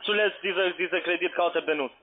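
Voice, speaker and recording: A man calling on the phone as a scam caller, pushing with halting, repeated questions in non-native grammar. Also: high voice. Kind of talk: phone call, pushy questioning. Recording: muffled lo-fi phone line.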